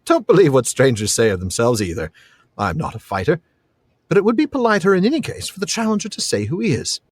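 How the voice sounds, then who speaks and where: A man's voice: on the high side, huge pitch swings, fast, breathy edge, pitched above the performer's own voice, exaggerated voice. A man reading a novel aloud, voicing a small, plucky young halfling with a playful, mock-menacing manner. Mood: friendly.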